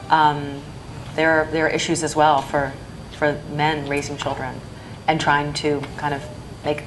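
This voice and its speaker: A woman moderating an art school panel, offering advice in a measured way. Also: deep voice. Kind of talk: panel discussion. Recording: noisy room recording.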